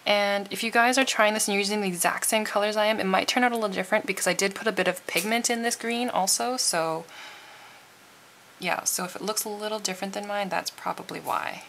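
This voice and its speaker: A woman's voice: medium pitch, steady tone, fast talker, fairly bright tone, a little hiss or hum. A woman giving a casual, chatty tutorial voice-over, sounding a little tired and froggy. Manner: informal, chatty. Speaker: nail art tutorial host.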